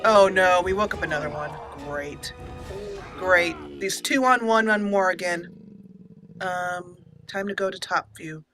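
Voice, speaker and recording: A woman with a bright, forceful voice gives casual video game commentary as she plays. She is chatty and playful, given to mock indignation and silly mild swears, with game music running behind her. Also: fairly low pitch, a little slow. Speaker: gaming channel host. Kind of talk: casual let's play commentary.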